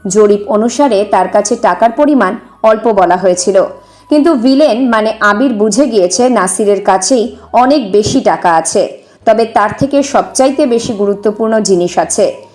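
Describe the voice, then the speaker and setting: A woman's voice: medium pitch, normal speed, darkish tone. A woman giving scripted narration for a dramatised audio story.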